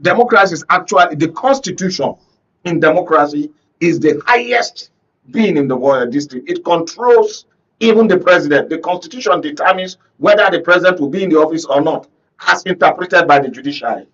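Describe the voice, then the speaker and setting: A man's voice: a little high, lively intonation, a little fast, a bit bright, highs cut off. A man with a Nigerian accent giving emphatic, rhetorical political commentary as a panel guest on a talk show, often checking that listeners follow. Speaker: panel guest, conflict reporter. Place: radio and TV studio discussion.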